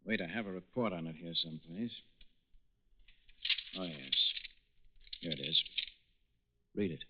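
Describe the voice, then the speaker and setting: An actor playing a dry, measured investigator reporting findings, in 1940s radio drama style. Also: male voice, low voice, expressive, lo-fi sound, heavy background noise. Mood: businesslike. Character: matter-of-fact male investigator reporting lab findings. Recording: band-limited 1940s radio recording.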